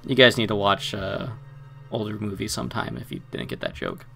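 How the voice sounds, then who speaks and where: Creaky-voiced man hosting a let's play. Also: on the low side, big pitch swings, brisk, sharp tone, soft background music. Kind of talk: reading game narration aloud with casual commentary.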